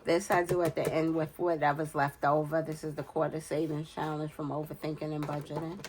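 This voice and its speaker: A woman's voice: deep voice, little pitch movement, a little fast, darkish tone, a little hiss or hum. A woman in her forties with a cold and a nasal voice, talking casually and unhurriedly as she fills in budget challenges, counting aloud under her breath. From United States. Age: 40s. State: congested from a cold. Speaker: budgeting vlogger. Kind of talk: casual talk while filling budget challenges.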